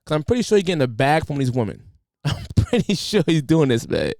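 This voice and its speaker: A young man from the United States hosting a podcast, ranting in an animated, preachy way with casual slang. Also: on the high side, a little fast, dry recording, clean studio sound. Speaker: podcast host.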